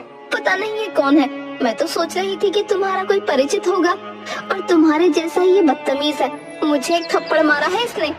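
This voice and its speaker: A woman's voice: very high voice, moderate pace, over music, voice pushed up. A woman voicing a distressed young village wife and mother in a cartoon, plaintive and emotional. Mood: complaining. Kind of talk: cartoon story voice acting.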